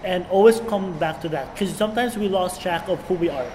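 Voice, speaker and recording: A young Filipino man with a high voice and a blended international English accent. He talks casually, full of slang and repetition, like a guest on a relaxed podcast. The sound has a long hall reverb and a little background noise. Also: fairly quick. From Filipino raised in Saudi Arabia. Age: young adult. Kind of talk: casual chat.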